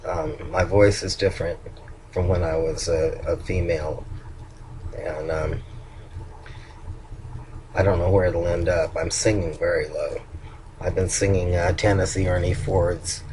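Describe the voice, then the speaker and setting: Older man with a very low voice. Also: steady tone, background noise. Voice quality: low voice, nasal at times. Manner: halting, with frequent ums and restarts. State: hoarse. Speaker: vlogger. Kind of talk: casual personal video update.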